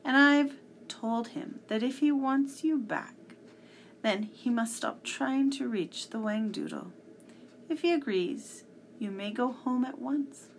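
A woman voicing a tall, smooth male henchman in a storybook, breezy and mock-polite with casual menace. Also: on the high side, slow, slight background noise, voice pushed up.

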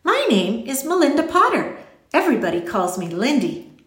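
Storybook role: chatty, candid girl of seven played by a woman, cheerful, high and lively, in a roomy space. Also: normal speed. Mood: eager. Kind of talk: audiobook character voice.

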